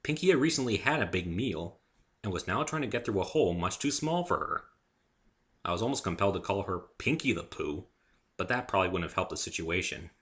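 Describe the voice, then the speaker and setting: A man's voice: low-pitched, lively intonation, fairly quick, a bit bright. A man reading a first-person story aloud as a dramatic narrator.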